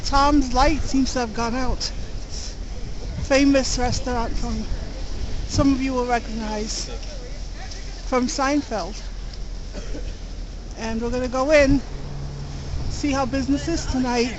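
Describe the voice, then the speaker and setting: Upbeat woman street reporter, high voice, camcorder audio with strong wind noise. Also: fairly even intonation, slow, pauses often. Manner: upbeat, chatty questions. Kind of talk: casual on-the-street interviews. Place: outdoors in a snowstorm on city streets. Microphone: handheld camcorder mic.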